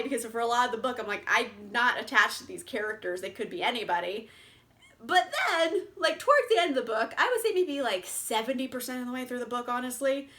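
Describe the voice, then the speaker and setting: A woman's voice: sits high, fairly bright tone. A young woman casually reviewing books in a chatty vlog, laughing often and swearing freely. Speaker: book reviewer.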